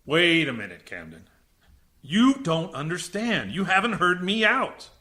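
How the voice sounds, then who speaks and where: Sci-fi radio drama base commander, male actor: high-strung, forceful, swinging delivery, defensive yet earnest, emphatic protests. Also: sing-song, a little slow, full character voice. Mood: indignant, protesting. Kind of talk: radio science fiction drama acting.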